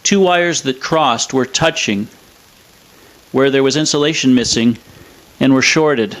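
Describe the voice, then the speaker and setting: A man with a mid-pitched voice presents scripted technical narration. He speaks in a measured, matter-of-fact manner, explaining things step by step. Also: fairly bright tone, low-quality recording. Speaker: presenter of a vintage radio restoration video.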